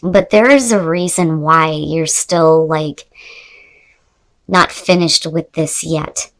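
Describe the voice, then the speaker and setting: Low-voiced woman, distant from the mic. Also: slow pace, fairly bright tone. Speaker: tarot reader. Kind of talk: conversational tarot reading addressed to the viewer.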